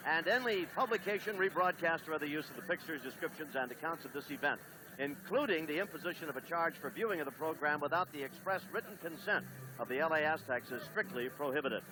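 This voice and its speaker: A man doing play-by-play on a 1970s television soccer broadcast, briskly reading lineups and standings. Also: on the high side, lively intonation, background noise. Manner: brisk, reads lineups and stats. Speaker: play-by-play announcer.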